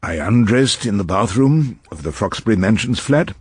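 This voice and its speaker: An actor as a wry old English barrister, low-voiced. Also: male voice, expressive, a little mellow, a little hiss or hum, close to an everyday voice. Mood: wry narration. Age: older. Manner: wry, unhurried, ironic. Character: irascible, wry old male barrister narrating his cases. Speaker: barrister and narrator. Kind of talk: radio drama dialogue and first-person narration.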